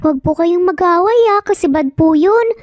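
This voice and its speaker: A woman's voice: very high-pitched, normal speed, a little mellow, dry recording, clean studio sound, pitched above the performer's own voice. A woman voicing a sweet, earnest small child with polite, pleading manners in a dramatised story. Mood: worried, pleading.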